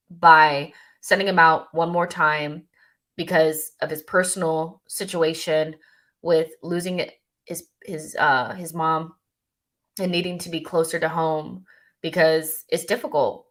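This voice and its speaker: A woman's voice: sits low, a bit bright. A young woman hosting a live stream, reading out chat questions and answering them in a casual, thinking-aloud way full of ums and restarts.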